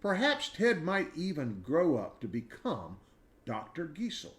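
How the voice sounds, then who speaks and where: Man reading a storybook with lively pitch. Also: unhurried. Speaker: reader of a children's book. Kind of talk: expressive read-aloud storytelling.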